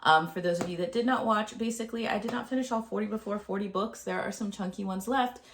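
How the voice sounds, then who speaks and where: A woman's voice: medium pitch, fast. Chatty woman with a stuffy cold. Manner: chatty, with frequent ums and uhs. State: congested, a little nasal and gravelly from a cold. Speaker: book vlogger. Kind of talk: casual chat to camera.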